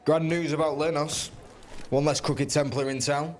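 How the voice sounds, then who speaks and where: An actor playing a wry, blunt rebel comrade in a video game. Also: male voice, on the high side, level tone, moderate pace, soft background music, close to an everyday voice. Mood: wry, satisfied. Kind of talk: video game dialogue acting.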